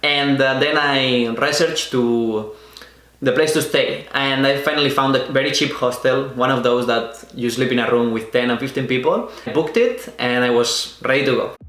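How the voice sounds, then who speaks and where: A man's voice: mid-range voice, a bit bright, roomy sound. Spanish-accented young man telling his story. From Spain. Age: 20s. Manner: earnest, unhurried storytelling with small restarts. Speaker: vlogger. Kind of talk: personal storytelling.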